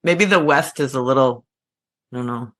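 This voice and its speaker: A woman in her fifties co-hosting a podcast, chatting casually and laughing easily. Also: extremely low pitch. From Upper Peninsula of Michigan. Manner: slow, laughs easily, short agreeing replies. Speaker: podcast co-host. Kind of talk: casual chat.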